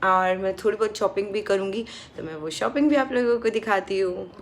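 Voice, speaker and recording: A woman's voice: mid-range voice, brisk. A young woman travel vlogger talking casually to her phone camera, slipping English phrases into her Hindi. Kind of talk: casual vlog chat to camera.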